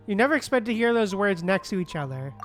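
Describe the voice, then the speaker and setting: A streamer reacts casually to a game in a mid-pitched voice. The talk is slangy and loose, with music underneath. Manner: slangy, laughs readily. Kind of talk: casual chat, reactions and reading aloud.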